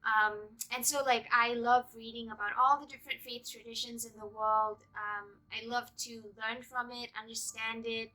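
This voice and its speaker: A young woman from Malaysia chatting casually and unscripted to viewers, earnest and halting as she searches for words. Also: on the high side, flat delivery, sharp tone, rough recording. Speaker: vlogger introducing own channel.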